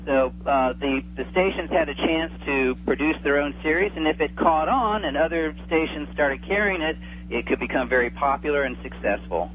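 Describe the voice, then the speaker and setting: A man's slightly high voice comes through a thin phone line with faint noise. He is an enthusiastic expert guest, chatting casually in a radio interview. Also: normal speed. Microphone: telephone call. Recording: tinny phone line with hum and hiss.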